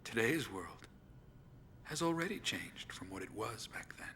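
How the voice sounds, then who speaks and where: A man playing a somber, philosophical figure delivering a grave, deliberate monologue in a video game. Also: fairly low pitch, sing-song, bright, forceful tone, slight background noise, heavily acted. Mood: grave, musing.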